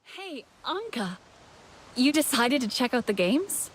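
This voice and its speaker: An actress playing a calm, helpful young warrior heroine in a game, easygoing, inquisitive and gently teasing. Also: female voice, sits high, takes it slowly, slight background noise, heavily acted. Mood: calm, curious. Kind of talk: naturalistic game dialogue.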